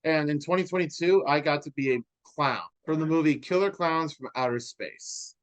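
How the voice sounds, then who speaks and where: A man's voice: fairly high pitch, moderate pace, dry recording, rough recording. Chatty man in his twenties, dry sound. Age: 20s. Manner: enthusiastic, with restarts and fillers. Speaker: interviewee. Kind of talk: casual interview answers.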